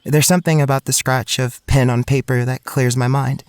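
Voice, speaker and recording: A male actor voices an anxious, introspective young student telling his own story in an audio drama. His voice sits at an average male pitch. He sounds earnest and a little shy. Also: flat delivery, normal speed, close to an everyday voice. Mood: reflective. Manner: earnest, introspective, hesitant in dialogue. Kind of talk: first-person audio drama narration and acting.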